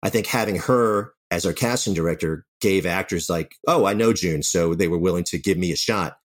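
A man with a deep voice talks through his experiences as an interview guest. He is candid and self-deprecating, speaking conversationally. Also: normal speed. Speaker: writer and audio drama director, interview guest.

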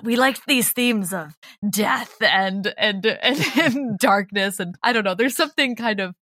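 A woman's voice: medium pitch, lively intonation, measured pace, a bit bright, no room sound, studio quality. A woman talking casually as a creative guest in an interview.

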